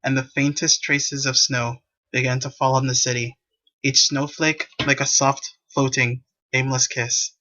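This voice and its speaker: A man's voice: medium pitch, flat delivery, unhurried, pushed and bright, muffled recording. A man reading scripted story narration for a visual novel.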